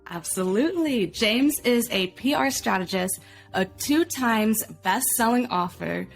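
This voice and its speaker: A woman's voice: a little high, animated, measured pace, vocal fry. Woman hosting a podcast, music behind. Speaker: podcast co-host. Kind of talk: scripted podcast introduction and interviewing.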